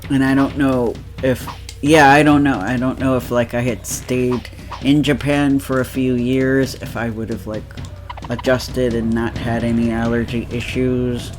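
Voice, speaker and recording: Nasal man chatting slowly over gameplay. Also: mid-range voice, level tone, a little hiss or hum. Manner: rambling, laughs often, many fillers. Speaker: let's play co-host. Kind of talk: casual chat over gameplay.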